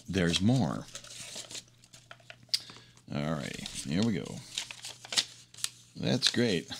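A man's voice: fairly low pitch, a bit bright, a little hiss or hum. A middle-aged man giving casual, unscripted hands-on commentary as a hobbyist host, rambling along with enthusiasm. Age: middle-aged or older. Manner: rambling, enthusiastic, chuckles often. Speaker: hobbyist host of a teardown video. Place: indoors at a workbench.

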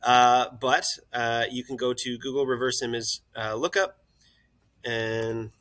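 A man's voice: mid-range voice, unhurried. A young man who runs a hot tub shop, talking casually at his computer through a screen-share tutorial with lots of "uh" fillers. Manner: relaxed and friendly, many "uh" fillers. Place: indoors, recording at a computer.

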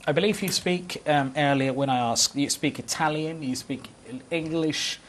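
A man hosting a television interview, putting his questions in a measured, steady way and slipping between languages. He is picked up on a lapel mic in a studio, with a faint hiss underneath. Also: fairly high pitch. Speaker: TV host. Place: dark television studio, seated.

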